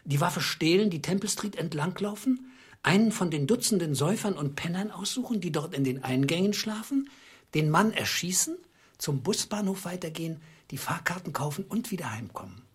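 An actor playing a middle-aged, bitter clerk who speaks in a deliberate, accusing, sarcastic way in a radio drama. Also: male voice, high-pitched, lively intonation, husky, full character voice. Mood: bitter accusation. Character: bitter, calculating small clerk confronting his treacherous wife.

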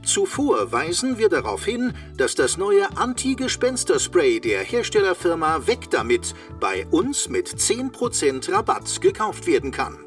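A man's voice: sits high, very animated, measured pace, few pauses, distant mic, faint music behind. A man playing a chirpy automated hotline announcer with a salesman's zeal in a children's radio play, using sing-song patter. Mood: cheerful, salesy. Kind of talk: parody phone menu in children's radio play.

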